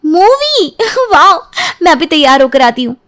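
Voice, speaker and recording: A woman acts out an emotional young cartoon wife with a very high, girlish voice. Her pitch swoops freely, and she is quick to gush or get jealous. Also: sing-song, a bit bright, full character voice. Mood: excited.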